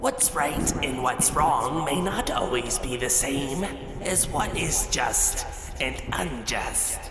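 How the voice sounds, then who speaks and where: A woman voicing a mysterious female host of strange tales, speaking slowly and theatrically. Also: rumbling low, animated, exaggerated voice. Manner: slow, theatrical. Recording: reverberant hall effect. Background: loud music.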